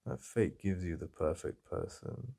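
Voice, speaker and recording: An English man speaking softly and intimately in a boyfriend ASMR roleplay, halting and heartfelt. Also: low-pitched, lively intonation, vocal fry.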